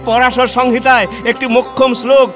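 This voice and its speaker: An actor playing an ageing, stern and wounded scholar-reformer in a declamatory, emotional audio-play monologue with dramatic pauses. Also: male voice, high-pitched. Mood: triumphant. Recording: music under the voice. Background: background music.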